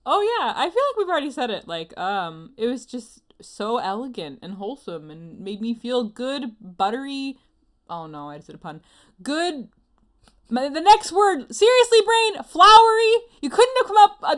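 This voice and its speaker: Female podcast co-host, fairly high voice, informal and self-deprecating, casual comedic banter. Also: sing-song, normal speed, a bit bright. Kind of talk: casual comedic podcast chat about anime.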